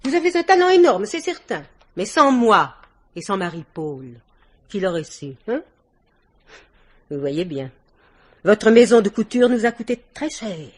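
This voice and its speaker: A woman's voice: mid-range voice, big pitch swings, measured pace, pauses often. An actress playing a worldly, domineering older society woman in a vintage French radio drama, theatrical and effusive with little laughs. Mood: sly, insistent.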